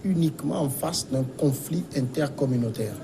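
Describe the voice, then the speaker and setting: Man, news host, interview questioning, medium pitch, clearly noisy background. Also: normal speed, mellow.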